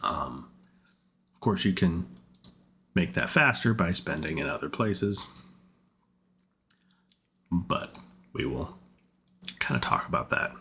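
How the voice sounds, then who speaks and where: A man giving casual gaming commentary in a rambling, think-aloud way, his voice rough from repeated colds. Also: sits low, lively intonation, slow, pauses often, pushed and bright, a little hiss or hum. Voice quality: husky, rough voice. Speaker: game content creator.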